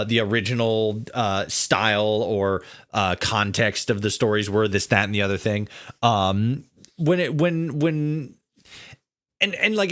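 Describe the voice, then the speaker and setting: A man hosting a casual interview, talking in a rambling, halting way and circling back over his phrases. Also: fairly low pitch, a bit bright. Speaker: podcast host interviewing a guest. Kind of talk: casual long-winded interview chat.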